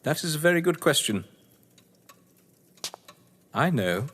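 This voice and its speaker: An actor playing an older, upper-class British crime novelist, scheming and sardonic, in florid, pompous stage-drama style. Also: male voice, on the high side, sing-song, takes it slowly, fairly bright tone, a little hiss or hum, exaggerated voice. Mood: suave, scheming. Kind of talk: stage-play audio drama acting. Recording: echoey hall reverb.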